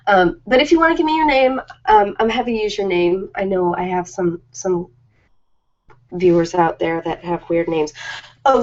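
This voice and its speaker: A woman chatting casually and informally on a live stream, ad-libbing in a rambling, self-deprecating way. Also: medium pitch. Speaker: live-stream host of ASMR and ritual videos. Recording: slightly dull lo-fi live-stream audio.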